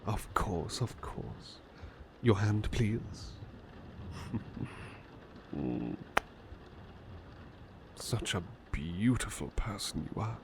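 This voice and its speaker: A man acts out a devoted, gentle butler in a personal-attention ASMR roleplay. He speaks slowly and softly with a gravelly creak and lively rises and dips, often dropping to a whisper. His manner is polite, tender and slightly hesitant. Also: on the low side, noisy background, full character voice. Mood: flustered, apologetic, then adoring, tender.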